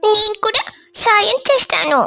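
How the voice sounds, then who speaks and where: A woman's voice: very high voice, lively intonation, normal speed, vocal fry, pushed and bright, voice pushed up, full character voice. A woman voicing an eager, helpful little child sparrow in a thin childlike voice for a cartoon story. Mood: eager, pleading.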